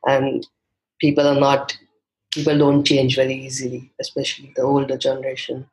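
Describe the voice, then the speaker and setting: A man's voice: mid-range voice, completely flat delivery, moderate pace, rough recording. A young man from India, a native Hindi speaker, answering interview questions thoughtfully with many fillers and restarts. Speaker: guest. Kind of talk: conversational interview.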